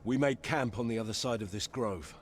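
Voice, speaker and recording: An actor playing a battle-hardened, loyal soldier in a fantasy game, solemn and blunt with formal phrasing. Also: male voice, lowish voice, fairly quick, very noisy, lightly acted. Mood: stern. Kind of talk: dramatic fantasy game acting.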